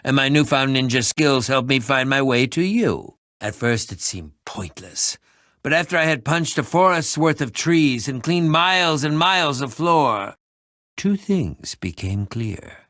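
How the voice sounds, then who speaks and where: An actor voicing an eccentric, excitable middle-aged adventurer in a video game, with a pompous, wordy, theatrical manner. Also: male voice, mid-range voice, measured pace, no room sound, studio quality. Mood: chatty, pleased. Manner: theatrical, pompous, wordy, swinging from muttering to exclaiming. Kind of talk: animated video game voice acting.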